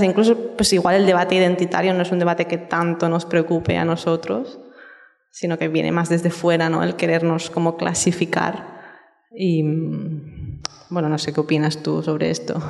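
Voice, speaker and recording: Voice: mid-range voice, vocal fry, darkish tone. An interviewer sharing views in a hesitant, word-searching way. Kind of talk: conversational interview question. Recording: echoey room with long reverb.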